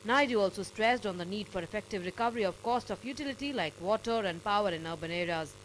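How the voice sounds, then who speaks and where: A woman's voice: medium pitch, slight background noise, poor audio. A woman with an Indian English accent reading a scripted news report as a voice-over.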